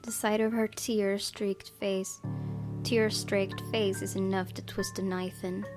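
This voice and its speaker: A woman hosts a gaming video, reading visual novel narration aloud. Her voice has an average pitch, and she slips into casual asides. Game music is clearly audible behind her. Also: level tone, takes it slowly.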